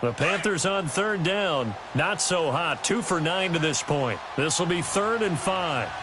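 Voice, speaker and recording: A brisk American football announcer calls the game in a polished broadcast style. His voice sits a little high for a man. It comes through a very noisy game-stream capture. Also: animated. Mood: brisk, focused. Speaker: play-by-play football commentator.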